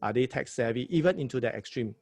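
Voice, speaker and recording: A man's voice: mid-range voice, animated, fast talker, a little mellow, no echo. A man from Singapore with a Singaporean English accent, giving casual advice as a podcast host and punching points with repeated "right?" tags. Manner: emphatic, repeats words, tag questions like "right?". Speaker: podcast host advising a new host.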